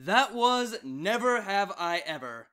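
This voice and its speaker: A man's voice: high-pitched, very animated, takes it slowly, bright, forceful tone, faint music behind. A man narrating fan fiction as a story reader and channel host.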